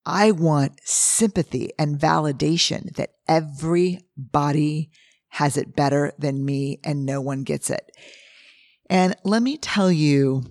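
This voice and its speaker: A middle-aged woman whose voice is hoarse and husky today. She talks in a candid, lively way and acts out the things people say as she tells stories to her podcast listeners. The sound is dry and close to the mic. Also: deep voice, takes it slowly, no room sound. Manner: candid, animated storytelling with acted-out quotes. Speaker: podcast host. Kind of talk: casual solo talk.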